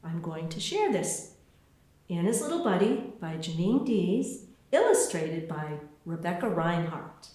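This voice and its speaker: A woman author reading her children's picture book aloud in an expressive, deliberate storytelling way, with spaced phrases. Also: fairly low pitch. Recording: very echoey room.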